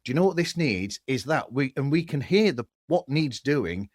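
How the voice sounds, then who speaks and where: A man's voice: mid-range voice, animated, normal speed, no echo, clean studio sound. A man hosting a casual podcast conversation. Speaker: podcast host.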